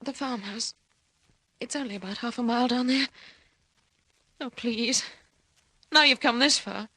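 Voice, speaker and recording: Panicky young woman from old radio mystery, really high sharp voice, pleading n breathless. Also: measured pace, no echo. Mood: pleading, urgent. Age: young adult. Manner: breathless, insistent, melodramatic pleading. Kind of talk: old-time radio drama acting. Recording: vintage radio drama recording.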